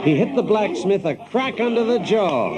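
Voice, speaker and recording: A man's voice: high voice, sing-song, normal speed, a loud crowd. An actor playing a cocky, wry American adventurer, telling his story as dramatized first-person narration. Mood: excited, boastful.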